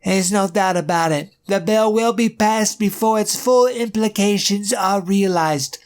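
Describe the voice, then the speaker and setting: A man's voice: high voice, measured pace, clean tone. A man voicing a nervous, corrupt male senator in a comic dub, fretful and sputtering.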